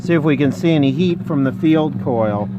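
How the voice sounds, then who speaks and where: A man's voice: a little high, animated, normal speed, lo-fi sound, heavy background noise. Man talking through a repair at his bench. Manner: thinks aloud while explaining technical details. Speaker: radio repairer. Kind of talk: casual workbench repair narration.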